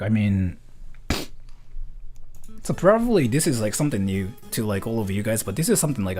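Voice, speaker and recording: A man's voice: medium pitch, dark tone. A teenage boy from Japan ranting casually to his viewers, rambling with lots of fillers and swearing. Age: teens. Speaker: vlogger. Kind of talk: casual rant to camera.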